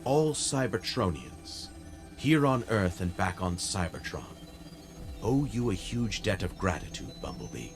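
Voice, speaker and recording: A man's voice: sits low, very animated, measured pace, music in the background, exaggerated voice. A man playing a noble, grave robot leader in a video game cutscene, speaking in formal, stately oratory. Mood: solemn, grateful.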